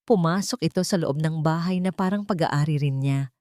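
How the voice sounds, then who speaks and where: A woman's voice: low-pitched, moderate pace, mellow, dry recording, clean studio sound. A woman with a Filipino accent reading scripted, dramatic story narration.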